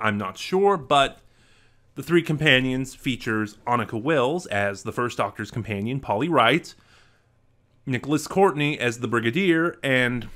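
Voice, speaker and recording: A young man with a bright, clear-edged voice talks his way through a casual unboxing vlog. He sounds enthusiastic and animated, gesturing as he speaks. He is recorded on a desk mic in a small room at home. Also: mid-range voice. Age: young adult. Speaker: vlogger. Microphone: desk mic in front of webcam.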